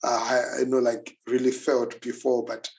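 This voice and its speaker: Male interviewer, middle pitch, relaxed and informal, restarts often. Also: moderate pace, creaky. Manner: informal, repeats words, uses slang. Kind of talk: casual interview.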